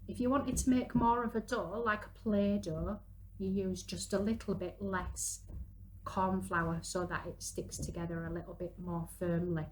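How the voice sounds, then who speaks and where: A woman's voice: lowish voice, steady tone, normal speed. Chatty woman demonstrating crafts, noisy recording. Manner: chatty, instructive, talks while working with her hands. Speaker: children's activity presenter. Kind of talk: casual craft demonstration for parents and young children.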